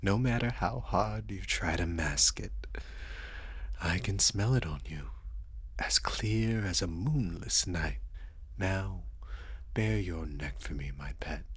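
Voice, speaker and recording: A man's voice: deep voice, animated, slow pace, a bit bright, dry recording, heavily acted. A man playing a seductive, dominant and sadistic vampire in an intimate ASMR audio roleplay, coaxing and condescending, often dropping into whispers. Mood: hungry, savoring. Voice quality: breathy, husky.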